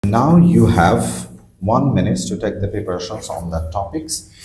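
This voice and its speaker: A man's voice: fairly low pitch, moderate pace. A young man acting as examiner, reading exam questions in a formal, scripted way. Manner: formal, flat scripted delivery. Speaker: IELTS examiner. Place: small office room, indoors. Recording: echoey room with faint noise.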